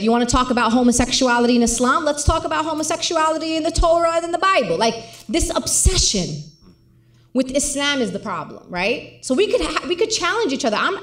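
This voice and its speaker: A woman's voice: high voice, a bit bright. A woman speaking on stage with a handheld mic in a lecture hall, impassioned and emphatic. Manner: impassioned, emphatic, gestures a lot. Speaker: guest speaker, organizer. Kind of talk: public conversation on stage. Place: large lecture hall with audience. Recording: roomy hall sound.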